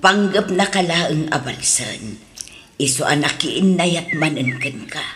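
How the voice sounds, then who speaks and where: A woman playing a concerned, expressive mother in a radio drama with an Ilocano accent, on a noisy recording. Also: low-pitched, slow, exaggerated voice. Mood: frank, discouraging.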